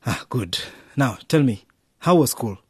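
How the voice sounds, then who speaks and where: A wise middle-aged father in a radio drama. He is encouraging, with a husky voice, a very expressive pitch and a close, dry sound. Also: measured pace, no room sound.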